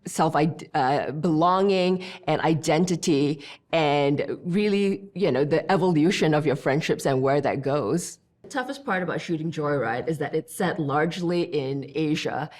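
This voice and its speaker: A middle-aged woman from Malaysia, a film director, talking animatedly in a seated studio interview. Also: deep voice. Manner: animated, gestures as she talks, easy conversational flow. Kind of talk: behind-the-scenes interview.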